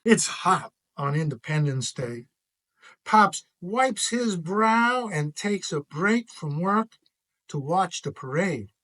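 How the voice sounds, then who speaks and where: A man narrates a children's picture book in an expressive read-aloud style. His voice sits fairly high for a man, and he takes his time over the phrases. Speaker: author reading own picture book.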